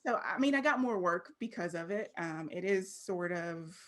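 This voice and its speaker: Low-pitched American woman, candid and informal. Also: lively intonation, normal speed. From Fort Worth, Texas, United States. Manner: candid, informal, frequently laughing. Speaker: interview guest, creative professional. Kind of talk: casual interview conversation.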